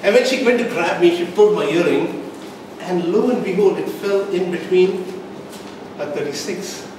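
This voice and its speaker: A man telling a comic tall tale as a speech to a live audience, with theatrical pauses and an emphatic build-up. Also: sits high. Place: indoor meeting room, recorded from the audience. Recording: distant microphone, echoey room, background noise.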